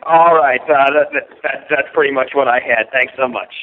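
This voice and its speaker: A man of medium pitch comes over a thin telephone line. He is a reporter putting questions haltingly, often hesitating. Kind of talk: press questions. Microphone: telephone on conference call. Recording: tinny band-limited phone line.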